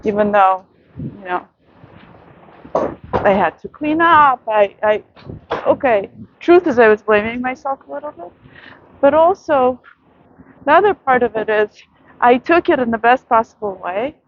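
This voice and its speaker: A woman vlogger giving a soft, reflective late-night monologue outdoors in a city, with many pauses and fillers. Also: a little high, animated, narrow, tinny audio.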